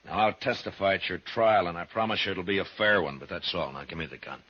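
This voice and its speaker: A man's voice: sits low, expressive, fast talker, breathy edge. An American man acting a stern, fearless frontier marshal in a 1950s radio western, speaking in a terse, firm way. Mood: firm, calm. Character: stern, steady, fearless Old West US marshal. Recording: muffled old radio recording with music.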